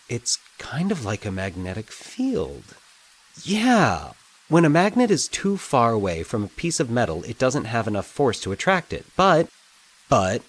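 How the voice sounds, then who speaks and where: A man voicing an anxious, excitable male character thinking aloud in halting, agitated bursts in an audio drama. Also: mid-range voice, breathy edge, poor audio. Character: Mike, anxious excitable man puzzling out eerie coincidences. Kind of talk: dramatic audio fiction monologue.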